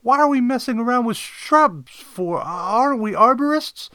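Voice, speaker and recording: A man voicing a detective's eager, complaining young male assistant, excitable and whiny, in an audio story. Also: normal speed, no echo, exaggerated voice. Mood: puzzled. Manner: high-pitched, excitable, deferential yet whiny.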